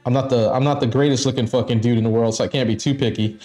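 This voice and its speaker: A man's voice: medium pitch, fairly even intonation, fast talker, warm tone, faint music behind. A man in his fifties chatting casually on a live stream, blunt and frank, swearing often and laughing between thoughts. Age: 50s. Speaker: stream co-host.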